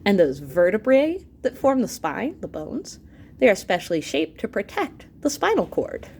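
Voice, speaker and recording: Expressive woman reading a science book aloud. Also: medium pitch, slight background noise. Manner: explanatory, expressive, pausing to clarify terms. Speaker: teacher reading aloud to students. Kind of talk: educational read-aloud of an illustrated science book.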